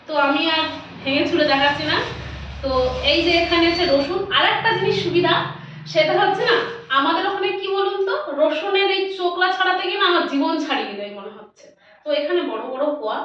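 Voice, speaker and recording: High-pitched West Bengal woman, very echoey. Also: fairly bright tone. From West Bengal, India. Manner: chatty, addresses viewers as friends. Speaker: lifestyle vlogger. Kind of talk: casual chatty vlog, showing shopping to viewers. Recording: roomy echo, distant mic, dull, faint noise.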